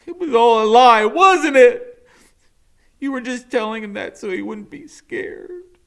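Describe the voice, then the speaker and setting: A man voicing an upset teenage boy who shouts in a strained, high voice while reading a storybook aloud. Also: unhurried, gravelly, clear, resonant tone, full character voice. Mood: anguished.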